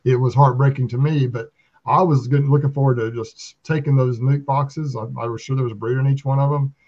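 A man's voice: medium pitch, steady tone, a little fast, darkish tone. A man in his fifties with a Southern American accent, chatting casually and telling folksy stories on a video call. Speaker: beekeeper and video channel host. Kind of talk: casual chat. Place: small room at home, video call. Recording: slightly dull video-call audio.

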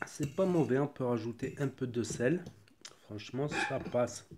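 A man with a cold reviewing a ready meal at home in a relaxed, chatty way, thinking aloud as he goes. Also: medium pitch, measured pace, noisy background. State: has a cold. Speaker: food reviewer. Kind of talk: casual product tasting review. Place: home kitchen table.

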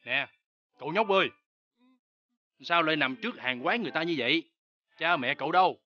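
A man voicing a fussy, wary but soft-hearted eatery owner in an animated fairy tale. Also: fairly high pitch, fairly quick, dull audio. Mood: wary, scolding.

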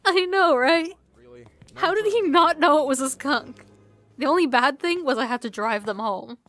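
A woman's voice: sits high, expressive, moderate pace, fairly bright tone, soft background music. A woman playing a friendly, easygoing young woman in a casual audio drama. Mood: amused storytelling.

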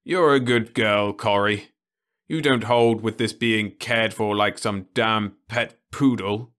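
A man's voice: fairly low pitch, fairly even intonation, takes it slowly, fairly bright tone, pitched above the performer's own voice. A man voicing a grumbling, rebellious old man in a storybook reading. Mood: mournful. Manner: scornful, mournful.

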